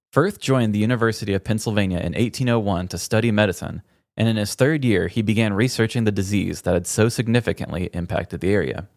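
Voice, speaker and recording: A man's voice: fairly low pitch, darkish tone, no echo, studio quality. A man with an American accent co-hosting a podcast, telling stories and chatting casually with a wry, joking manner. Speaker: podcast co-host. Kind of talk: casual chat and storytelling.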